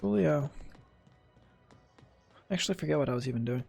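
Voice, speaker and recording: A man's voice: a little high, animated, brisk, gravelly, a little mellow, breathy edge, soft background music. A man doing casual gameplay commentary as a streamer, swearing and muttering to himself in short fragments. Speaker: video game streamer.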